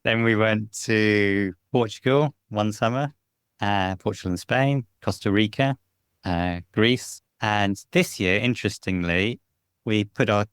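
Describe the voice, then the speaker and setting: A middle-aged British man telling stories as a podcast guest, chatty and relaxed, often laughing. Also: on the low side, unhurried, clean tone, dry recording, studio quality. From Britain. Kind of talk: casual interview storytelling. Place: home, lives in Spain.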